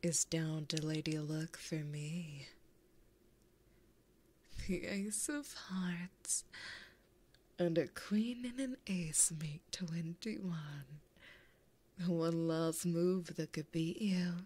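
A woman's voice: lowish voice, warm tone. A woman voicing a flirty, possessive female demon gambler in a whispered, teasing ASMR roleplay, close to a binaural microphone. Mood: smug, possessive. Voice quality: breathy, whispered. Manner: slow, teasing, intimate.

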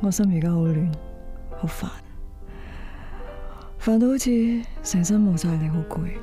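An actress playing a troubled middle-aged wife in a radio drama, confessional and subdued. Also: female voice, lowish voice, measured pace, warm tone, lightly acted. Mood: weary. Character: weary, troubled middle-aged wife confiding in her lover. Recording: music under the voice. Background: music.

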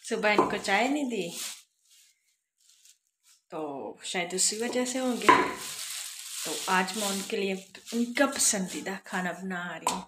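A woman's voice: medium pitch, very noisy. A young woman from Pakistan chatting casually to viewers in a home vlog, filmed on a phone in a village courtyard. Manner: chatty, addresses viewers as friends. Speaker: vlogger. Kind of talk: casual vlog talk to camera. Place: rural home courtyard, outdoors.

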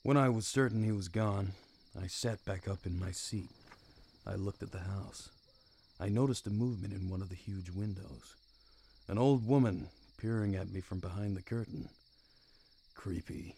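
An actor playing a world-weary, hardboiled private detective, narrating a noir radio play in a dry, wry, brooding way. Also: male voice, lowish voice, moderate pace, dark tone, natural voice. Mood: watchful, brooding.

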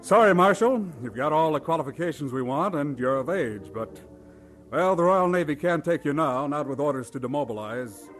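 Man playing animated, higher-voiced recruiting officer. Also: normal speed, soft background music, close to an everyday voice. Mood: regretful, official. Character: regretful, matter-of-fact Royal Navy recruiting officer. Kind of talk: audio drama acting.

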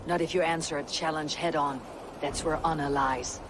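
A stern young Viking warrior woman , played by an actress, from a video game. She speaks in blunt, measured commands and rousing counsel, with clear background noise behind her. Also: low-pitched, steady tone, lightly acted. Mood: encouraging. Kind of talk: video game cutscene acting. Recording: outdoor ambience noise behind voice.

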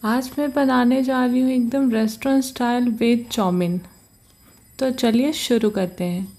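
Slow-paced woman narrating a recipe. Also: fairly high pitch, fairly even intonation, mellow. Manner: slow, patient, repeats "ye dekhiye". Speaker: cooking channel host. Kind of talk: step-by-step recipe voice-over. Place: home kitchen.

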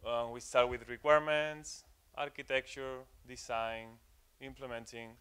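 Young male tech speaker, clear smooth voice, halting delivery full of "um"s, live conference room. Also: medium pitch, fairly even intonation, a bit bright. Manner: hesitant, many fillers and repeated words. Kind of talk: technical conference talk.

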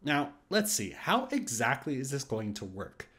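A man's voice: mid-range voice, big pitch swings, a little slow, a bit bright. A man giving casual, thinking-aloud commentary on game patch notes, with restarts and hesitations. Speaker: gaming YouTuber. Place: voice-over on screen capture.

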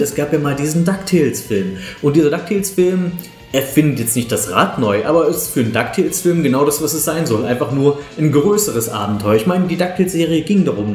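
A man's voice: medium pitch, a little mellow. Man reviewing films casually in a roomy space. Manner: conversational, opinionated. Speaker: film reviewer. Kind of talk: casual spoken review to camera. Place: small living room, on a sofa. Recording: roomy indoor echo, music behind.

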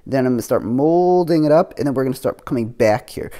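A man's voice: medium pitch, fast. Casual man showing off his model. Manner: relaxed, thinking aloud with fillers. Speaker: hobby model builder. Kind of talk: casual show-and-tell narration. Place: home room with model on table.

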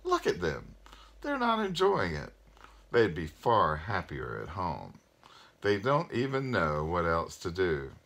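A man's voice: low voice, wildly swooping pitch, takes it slowly, vocal fry, a bit bright, full character voice. A man voicing a fussy, respectable male ghost with an indignant, haughty manner, reading from a story.

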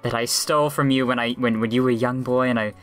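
Woman playing a very low-voiced lovestruck heroine. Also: steady tone, quick pace, faint music behind. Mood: earnest, flirty.

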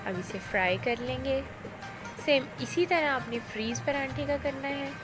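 A woman calmly explains each cooking step in a voice-over, speaking directly to viewers. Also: sits high, moderate pace. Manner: calm, instructive, addresses viewers directly. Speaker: cooking channel host. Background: loud background music.

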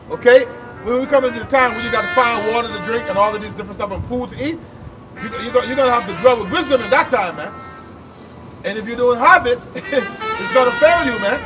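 A man street preaching outdoors, reading scripture aloud with emphatic, drawn-out delivery. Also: extremely high pitch, far from the mic, poor audio. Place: outdoors on a city sidewalk.

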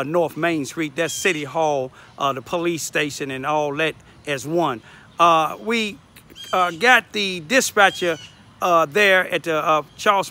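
A man's voice: fairly high pitch, pushed and bright, slight background noise. An older man giving informal, emphatic commentary outdoors into a phone, repeating himself for emphasis. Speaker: local citizen reporter. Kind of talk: informal selfie vlog commentary. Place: outdoors in a backyard. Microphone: phone at arm's length.

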